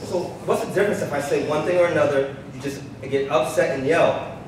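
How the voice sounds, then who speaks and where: A man's voice: fairly high pitch, fairly even intonation, fairly quick, close to an everyday voice. Young man acting defensive son, echoey stage. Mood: resentful. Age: young adult. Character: defensive, resentful grown son of refugee mother. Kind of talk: staged play reading. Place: large glass-walled hall, on stage. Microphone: handheld microphone through PA speaker. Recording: echoey, distant, noisy live stage recording.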